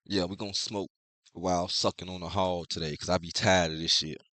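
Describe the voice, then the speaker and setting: Young male host, low congested voice, relaxed slangy delivery, dry close sound. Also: a little fast, no echo. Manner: laid-back slangy talk, heavy profanity. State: congested, clearing his throat. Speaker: manga review host. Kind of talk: casual chat.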